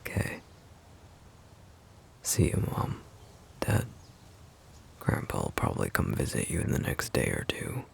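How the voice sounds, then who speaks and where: A man playing a sweet, bashful wolf-shifter boyfriend in an intimate ASMR roleplay, speaking softly and haltingly in a breathy murmur. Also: very animated, slight background noise, full character voice. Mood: gentle, fond. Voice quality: very deep, breathy, gravelly murmur. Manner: hesitant, halting, soft-spoken. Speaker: audio roleplay performer.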